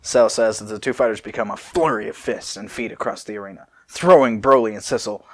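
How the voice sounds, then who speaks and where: A man's voice: fairly low pitch, fairly quick. A man reading fanfiction aloud as a storyteller, dropping in casual asides. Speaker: fanfiction reader.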